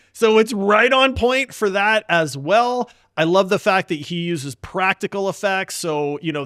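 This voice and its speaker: A man with a fairly high voice hosts a casual podcast. He talks enthusiastically and emphatically, addressing friends informally, with a dry, close sound. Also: bright, forceful tone, dry recording. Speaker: podcast host.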